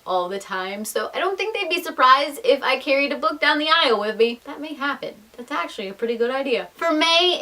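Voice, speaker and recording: A woman's voice: on the high side, a bit bright. A young adult woman chatting casually to viewers as a book vlogger, enthusiastic and emphatic, with self-deprecating asides.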